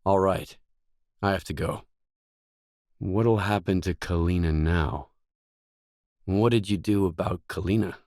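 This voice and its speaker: A low male voice as a gruff, cool-headed mercenary in a video game. He speaks in blunt, guarded lines with stern economy. The sound is dry and close, with no room around it. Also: unhurried, long pauses, a little mellow, no room sound. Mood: guarded, matter-of-fact. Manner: terse, stern, matter-of-fact. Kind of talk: scripted game dialogue lines.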